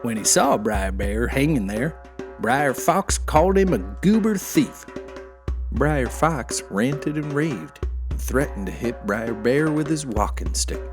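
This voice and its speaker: A man telling a folktale as an expressive, folksy scripted narration. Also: medium pitch, takes it slowly, music in the background. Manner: folksy, animated. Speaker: storyteller.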